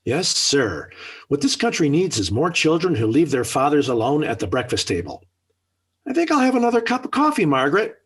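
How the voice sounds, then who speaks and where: Exasperated sitcom father, American, husky, swooping lively pitch, formal wordy sarcasm, 1940s radio recording. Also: brisk, heavily acted. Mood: relieved, pleased. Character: exasperated, dryly sarcastic suburban family father.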